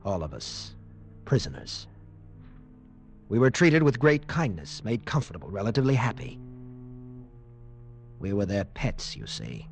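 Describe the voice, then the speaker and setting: Haunted, low-voiced captive lieutenant. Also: male voice, very animated, creaky, soft background music, full character voice. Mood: grave, haunted, then bitter, resigned. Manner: intense, grave, dramatic pauses. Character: haunted, defiant escaped captive lieutenant telling his ordeal. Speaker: narrator and protagonist. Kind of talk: dramatic first-person radio narration, 1940s-50s.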